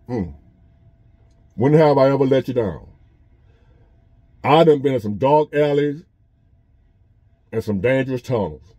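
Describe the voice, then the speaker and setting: A man's voice: mid-range voice, animated, measured pace, pauses often, dark tone, no echo, lo-fi sound. Earnest man in his fifties. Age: 50s. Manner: earnest, repetitive, conversational preaching. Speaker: vlogger. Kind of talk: casual motivational monologue. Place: parked truck.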